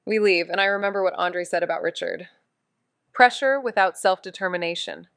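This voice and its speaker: A woman's voice: fairly low pitch, a little fast, fairly bright tone, clean tone, no room sound. Wry woman investigator, dry recording. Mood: brooding narration. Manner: wry, blunt, clipped. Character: wry, determined amateur woman investigator narrating her case. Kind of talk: noir audio drama dialogue and narration.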